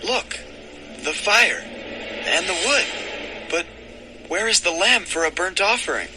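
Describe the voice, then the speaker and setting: A high-voiced, innocent son. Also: male voice, expressive, measured pace, noisy background. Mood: earnest, puzzled. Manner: earnest, questioning. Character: Isaac, young innocent questioning son. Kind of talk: dramatized scripture audio.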